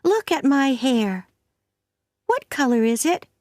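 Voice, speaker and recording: A woman's voice: high-pitched, big pitch swings, takes it slowly, a little mellow, no room sound, studio quality, voice pushed up, heavily acted. A woman voicing a chatty, dreamy orphan girl of about eleven who talks in gushing, dramatic bursts.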